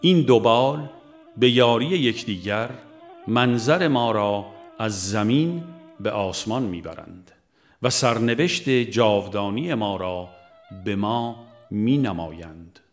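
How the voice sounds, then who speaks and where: A man narrating a spiritual book in a measured, solemn manner with deliberate pauses. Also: lowish voice, animated. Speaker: audiobook narrator. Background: music.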